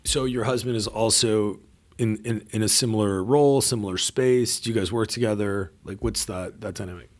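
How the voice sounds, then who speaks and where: A man's voice: on the low side, brisk. A man co-hosting a podcast who asks loose, rambling questions and laughs along easily. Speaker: podcast co-host. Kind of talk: conversational interviewing.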